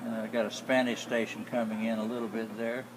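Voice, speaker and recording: A man giving a casual, unscripted demonstration as a hobbyist, speaking in a plain, halting way. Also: lowish voice, little pitch movement, a crowd in the background, poor audio. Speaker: hobbyist radio restorer. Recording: clear background noise with hum.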